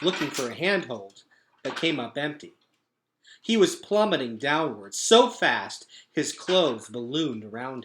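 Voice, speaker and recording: A man reading a children's book aloud as a teacher would, with dramatic, expressive narration. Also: medium pitch, a little hiss or hum.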